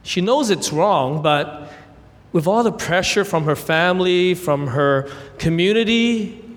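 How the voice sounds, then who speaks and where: A man's voice: high voice, expressive. Earnest man preaching in a reverberant hall. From Hong Kong, raised in Canada. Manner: earnest, gentle storytelling with brief pauses. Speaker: guest preacher. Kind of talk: sermon with prayer and illustrations.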